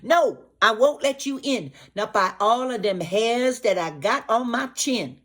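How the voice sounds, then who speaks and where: A storyteller voicing a small, frightened male pig who cries out high and loud. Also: lively intonation, unhurried. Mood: frightened, defiant.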